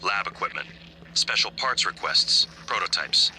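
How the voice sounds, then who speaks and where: A man playing a calm, knowing artificial helper in a video game, measured and coolly encouraging as he gives guidance. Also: low-pitched, background noise. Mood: measured, curious.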